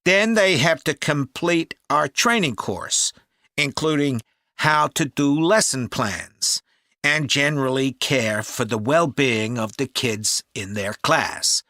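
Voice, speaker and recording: A man's voice: medium pitch, pushed and bright, dry recording, clean studio sound. A man playing a helpful, upbeat camp manager explaining things to a caller in an acted listening-test dialogue. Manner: informative, measured, upbeat. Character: helpful, upbeat male cycle camp manager taking a call.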